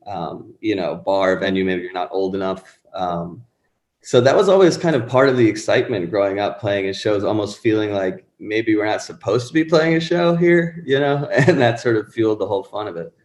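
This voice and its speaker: Deep-voiced man in his thirties, rambling. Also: expressive, moderate pace, a little mellow, roomy sound. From born in Pakistan, raised in Rhode Island. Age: 30s. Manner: rambling, many fillers and restarts. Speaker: musician guest. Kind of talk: casual interview chat.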